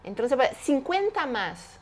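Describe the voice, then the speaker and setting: A woman's voice: sits high, very animated, slow, a little hiss or hum. Woman, 50s, interview guest; emphatic and explanatory, uses rhetorical questions. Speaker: guest, entrepreneur. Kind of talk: animated interview conversation.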